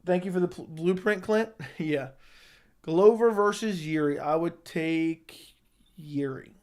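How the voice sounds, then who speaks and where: A slightly hoarse young man hosting a casual live chat, answering viewers with blunt opinions. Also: on the high side, takes it slowly, a little mellow. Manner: opinionated, talks straight to chat. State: slightly hoarse, losing his voice. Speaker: livestream host answering chat.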